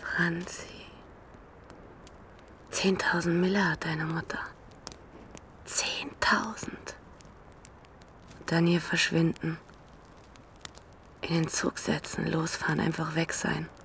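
An actress playing a desperate, unstable homeless widow in a German radio crime drama, murmuring haltingly to herself. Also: female voice, deep voice, level tone, fairly bright tone, whispered, full character voice. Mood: wistful, furtive.

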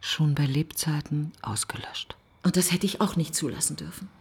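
An actress playing a bitter, grieving woman in a literary radio play monologue, speaking in clipped, intense fragments with a breathy husky voice. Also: sits low, normal speed, full character voice. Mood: bitter, hurt. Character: bitter, grieving woman reflecting on her dead best friend.

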